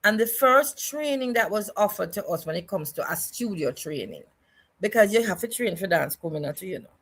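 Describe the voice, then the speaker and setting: An older woman with a Jamaican accent, speaking as an interview guest in a reflective, deliberate way and repeating phrases for emphasis. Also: mid-range voice, animated, breathy edge. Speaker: guest, choreographer and dance educator.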